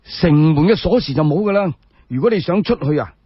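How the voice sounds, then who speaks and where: Man voicing a wry gatekeeper, animated and high. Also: a little fast, breathy edge, dry recording, highs cut off. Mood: teasing. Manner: teasing, colloquial, pointed. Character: wry, lame gatekeeper, a former convict.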